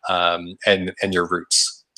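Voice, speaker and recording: A man's voice: low voice, monotone, takes it slowly, bright, forceful tone, low-quality recording. A man hosting an interview, asking questions in a conversational way with many "you know" and "kind of" phrases. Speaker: podcast host, interviewer.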